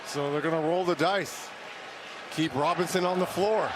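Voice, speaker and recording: Slightly high voiced guy doing color commentary on basketball, opinionated, really noisy arena. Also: moderate pace. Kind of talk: live basketball analysis. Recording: loud arena noise. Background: loud basketball game noise.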